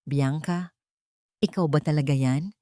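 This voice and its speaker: A woman's voice: low voice, measured pace, mellow, dry recording, studio quality. A woman voicing a cold, bitter, betrayed rich man in a dramatic audio story.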